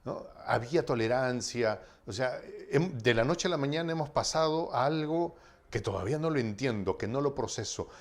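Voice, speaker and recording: A man's voice: mid-range voice, animated, normal speed. A man from Latin America hosting an interview in a casual, conversational style. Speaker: interview host.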